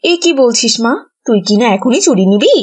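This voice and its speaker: A woman's voice: fairly high pitch, lively intonation, brisk, a little mellow, breathy edge. A woman voicing an exasperated village mother in a cartoon, with a scolding manner. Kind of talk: cartoon voice acting.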